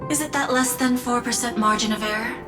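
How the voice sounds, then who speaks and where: A woman's voice: on the high side, steady tone, fairly quick, close to an everyday voice. Clinical female appraiser in long reverb played by an actress. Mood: clinical, probing. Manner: clinical, formal. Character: precise, analytical female appraiser of forgeries. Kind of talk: video game voice acting. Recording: long hall-like reverb under music.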